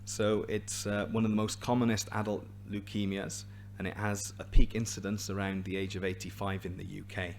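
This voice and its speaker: A man with a hoarse, raspy voice giving a scientific seminar to a live and online audience, explaining clearly with a few restarts. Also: on the low side, level tone, normal speed, a little hiss or hum. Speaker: invited seminar speaker, hematologist.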